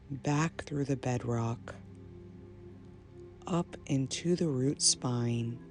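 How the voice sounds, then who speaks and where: Very slow, gravelly woman guiding meditation. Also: a bass voice. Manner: measured, pausing between short phrases. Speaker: meditation guide. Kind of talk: guided meditation, slow and soothing. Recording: soft binaural music bed. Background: ambient binaural meditation music.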